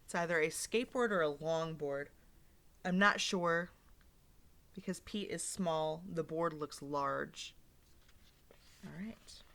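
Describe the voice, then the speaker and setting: Slow-paced woman reading to young children. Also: low voice. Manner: animated, expressive storybook delivery with simple explanations and questions. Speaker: teacher reading a picture book aloud to young children. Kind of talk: interactive read-aloud lesson with questions to listeners.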